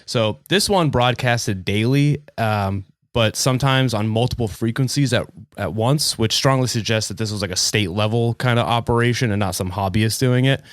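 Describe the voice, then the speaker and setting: A man's voice: medium pitch, moderate pace, few pauses, no echo. Man explaining casually, very dry sound. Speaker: podcast presenter.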